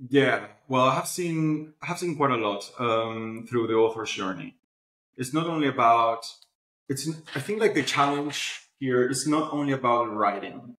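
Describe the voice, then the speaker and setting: A man's voice: medium pitch, normal speed, a bit bright, slight background noise. Man explaining in a roomy echo. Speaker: interview guest, publishing platform founder. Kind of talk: conversational interview answers.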